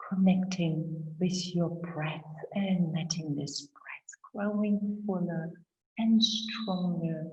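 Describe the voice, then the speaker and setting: A woman leading guided breathing and gentle yoga as an instructor, in short, measured, soothing phrases with long pauses. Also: lowish voice. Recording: roomy echo, slightly muffled sound.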